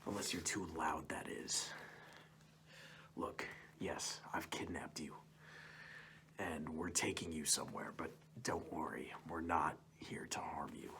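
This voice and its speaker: A man playing a goofy, menacing kidnapper in an ASMR roleplay, coaxing and mock-reassuring in a breathy, hushed whisper. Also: rumbling low, fairly even intonation, a little slow, exaggerated voice. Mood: hushed reassurance. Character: goofy menacing male kidnapper soothing his captive to sleep.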